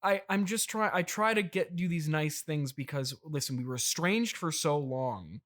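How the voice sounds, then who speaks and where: A man playing a boastful, defensive trillionaire father in an improvised comedy interview, halting and over-explaining as he dotes awkwardly. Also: on the high side, expressive, a bit bright. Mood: earnest pleading. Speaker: podcast host.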